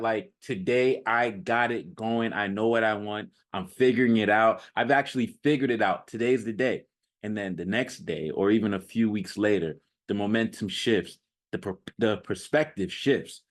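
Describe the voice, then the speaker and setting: Emphatic man giving a casual motivational monologue. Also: lowish voice. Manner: emphatic, repetitive, addresses listener directly with rhetorical questions. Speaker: self-improvement video creator and coach.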